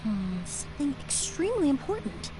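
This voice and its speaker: A calm, analytical investigator. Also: female voice, high voice, moderate pace. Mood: pensive. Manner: thoughtful, reasoning aloud. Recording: noisy game audio capture.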